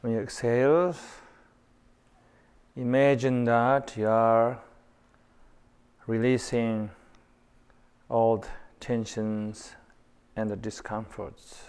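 A man leading a guided meditation in short phrases spaced with pauses. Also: medium pitch, level tone, darkish tone, clear, resonant tone.

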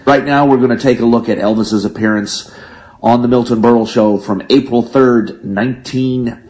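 A man's voice: mid-range voice, fairly even intonation, moderate pace, a little mellow. A man hosting a radio show, reading a scripted narration of music history.

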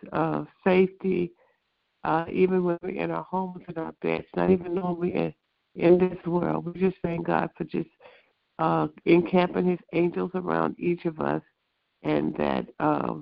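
A hoarse, congested speaker leading a morning prayer call with a measured, repeated litany and some fillers. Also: mid-range voice, no echo. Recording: tinny phone line, very gravelly.

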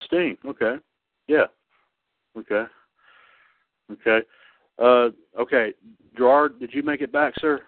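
A man in his thirties hosting a casual online radio show about wrestling. He talks haltingly, with many fillers and restarts. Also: medium pitch, no room sound. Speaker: podcast host. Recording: tinny phone-line sound, dry.

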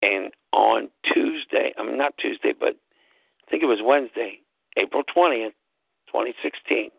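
Gravelly man on a tinny phone line. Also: mid-range voice, moderate pace, no echo. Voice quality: loud voice. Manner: emphatic, spells words out, repeats for stress. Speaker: talk show host. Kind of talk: casual storytelling monologue.

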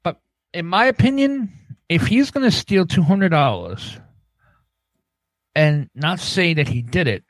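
A man's voice: fairly high pitch, lively intonation, measured pace, long pauses, no echo. A man hosting a podcast in casual chat, musing hesitantly as he thinks things over. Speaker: podcast host.

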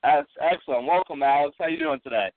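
A man with a stuffy, congested voice hosting a casual call-in podcast, talking in halting bursts full of fillers and restarts. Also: a little high, no echo. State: stuffy from allergies and a cold. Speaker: podcast host. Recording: tinny dry phone line.

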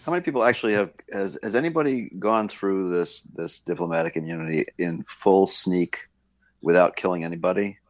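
A man's voice: low-pitched, fairly quick, dry recording. A man in a casual gaming roundtable, a podcast panelist talking tentatively over a dull, lo-fi connection.

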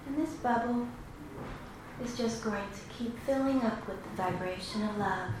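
A woman guiding a meditation in a slow, soothing, instructive way with long pauses. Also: on the high side. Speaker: meditation guide. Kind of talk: guided meditation. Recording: very echoey room, clearly noisy background.

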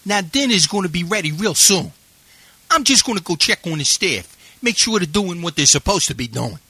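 A man's voice: high-pitched, sing-song, moderate pace, bright, forceful tone, slightly rough, no room sound, heavily acted. A man playing an eager, slightly sleazy camp counselor who makes chummy, formal announcements in a scripted comedy. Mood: proudly announcing. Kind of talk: scripted audio comedy acting.

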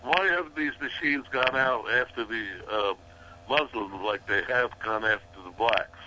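A man hosting a radio talk show, asking halting questions full of fillers. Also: mid-range voice, background noise. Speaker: radio host.

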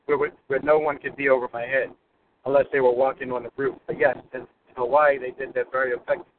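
Man calling in from his car, rambles and restarts, thin phone line, faint hiss. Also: mid-range voice. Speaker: caller. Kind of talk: call-in question. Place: car, driving to work.

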